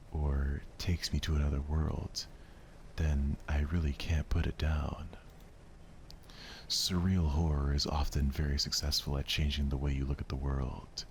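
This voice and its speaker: A man voicing a gentle, reserved, bookish girl who speaks softly and earnestly about what she loves. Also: extremely low pitch, a little hiss or hum.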